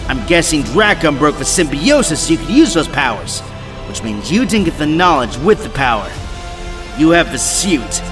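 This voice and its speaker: An actor in a superhero audio drama playing a resolute, clever, defiant former hero, over loud music. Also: male voice, on the high side, sing-song, moderate pace.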